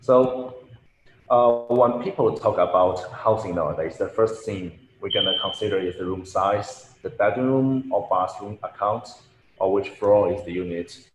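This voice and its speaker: Low-voiced young man, echoey room. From Shanghai, China. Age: young adult. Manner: careful, somewhat halting delivery. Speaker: graduate student presenting. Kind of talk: thesis presentation. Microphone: computer mic on a video call. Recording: echoey room with faint noise.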